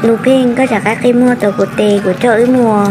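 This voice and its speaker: A woman's voice: fairly high pitch, steady tone, fast talker, noisy background, lightly acted. A woman voicing an adult woman in a folk-tale cartoon, in plain conversational speech. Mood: talking. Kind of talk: cartoon voice acting. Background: music.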